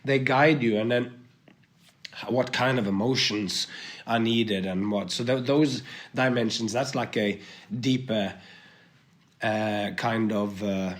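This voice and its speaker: A man with a cold and a hoarse voice, thinking aloud as a guest in a podcast conversation, with many restarts. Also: medium pitch. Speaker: guest, performer.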